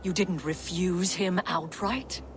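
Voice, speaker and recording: A woman's voice: fairly low pitch, fairly even intonation, takes it slowly. A defiant queen of the gods in a game cutscene. She speaks firmly and indignantly, with faint ambient noise behind her. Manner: firm, indignant. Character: proud, defiant goddess queen. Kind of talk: dramatic video game acting.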